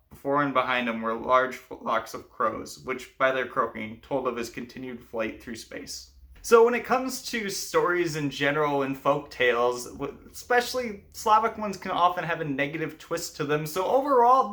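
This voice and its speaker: A man with a bright, forceful voice at a middle pitch works as storyteller and host. He presents folk material in clear narrative fashion, as someone explaining tales to listeners. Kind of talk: scripted fairy tale narration, then explanatory commentary.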